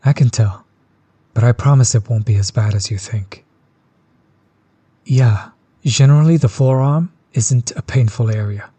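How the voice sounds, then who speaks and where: A man playing a chill, friendly tattoo artist in an intimate audio roleplay, soft-spoken, easygoing and reassuring. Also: medium pitch, measured pace, long pauses, husky, no echo. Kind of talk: intimate one-sided audio roleplay, casual chat.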